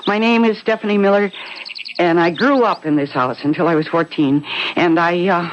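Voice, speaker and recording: A woman's voice: lowish voice, normal speed, noisy background, lightly acted. A dull, band-limited radio recording of a woman playing a young American wife. She is wistful and nostalgic, speaking politely and a little hesitantly in a seventies radio drama style. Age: young adult. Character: nostalgic young suburban wife, eager yet apologetic. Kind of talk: 1970s radio drama acting.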